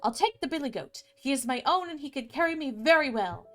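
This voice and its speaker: A woman's voice: high-pitched, lively intonation, fairly quick, fairly bright tone. A woman voicing a brash, eager young simpleton son in a fairy tale, exclamatory and boisterous. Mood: stubborn, eager.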